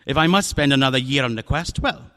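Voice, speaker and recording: An actor in a radio drama playing a pompous, jovial, cunning older crime boss who talks in a courtly, florid, theatrical way. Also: male voice, medium pitch, fast talker, sharp tone, close to an everyday voice. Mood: resigned, philosophical.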